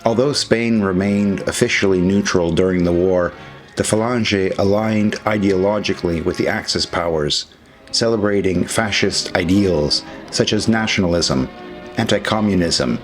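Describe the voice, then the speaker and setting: A man's voice: deep voice, a little slow, faint music behind. A man reading scripted historical narration as a documentary narrator.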